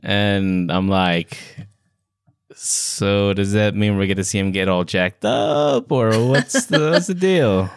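A man's voice: fairly low pitch, slow pace, clean tone, no room sound, studio quality. A man co-hosting a podcast, chatting casually in a hesitant but playful way. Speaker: podcast co-host. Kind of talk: casual chat.

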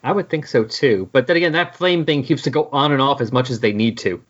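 A man's voice: mid-range voice, fast, husky, lo-fi sound. A man co-hosting a podcast, chatting casually and wryly about comics. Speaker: podcast co-host.

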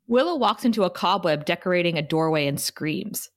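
A woman's voice: fairly low pitch, lively intonation, brisk. A woman narrating stage directions for a scripted table read.

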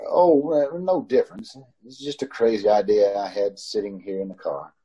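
A man's voice: fairly low pitch, moderate pace, dark tone, low-quality recording. A man playing a haunted, uneasy motorist in an old-time radio suspense drama, recorded over a video call. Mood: nervous, probing. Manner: brooding in narration, hesitant and stammering in dialogue. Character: haunted, uneasy male motorist narrating his ordeal. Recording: video call with clear background noise.

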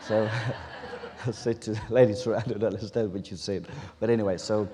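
Afrikaans-accented preacher in a reverberant hall. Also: male voice, lowish voice, fairly even intonation, mellow. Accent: South African Afrikaans. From South Africa. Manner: earnest, halting, with restarts and pauses. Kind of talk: sermon.

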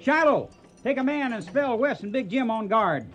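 An actor playing a gruff, hot-tempered trail boss in a radio western, with a folksy American Western drawl and an emphatic, commanding way of talking. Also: male voice, very high voice, animated, normal speed, fairly bright tone, muffled recording. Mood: hollering. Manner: folksy, emphatic, barking orders.

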